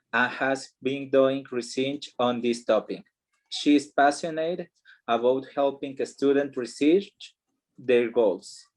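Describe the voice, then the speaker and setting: A man with a mid-range voice moderates an online academic panel. He speaks in a measured, formal way, reading introductions and a biography aloud with care. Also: medium pitch, darkish tone, poor audio.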